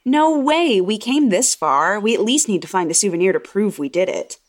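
A woman's voice: medium pitch, animated, normal speed, natural voice. A woman voicing a bold, sarcastic, impatient girl in a horror story, brash and pushy. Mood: insistent. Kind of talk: horror story character dialogue.

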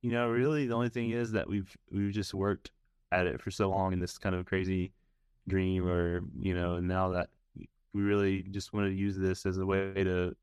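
A man in his thirties, a band's bass player, answering interview questions in an easygoing way. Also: level tone, moderate pace, darkish tone, clean tone, dry recording. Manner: easygoing, lots of "you know" and "like". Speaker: band bassist, guest. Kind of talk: casual interview.

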